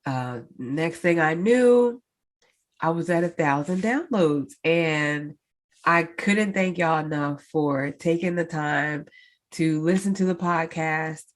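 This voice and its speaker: A woman's voice: low voice, slow. A woman hosting her own podcast in a casual solo update, congested and sounding nasal, chatty with many fillers and a lilt. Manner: chatty, many fillers, addresses listeners directly. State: congested, stuffed-up nose. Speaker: podcast host.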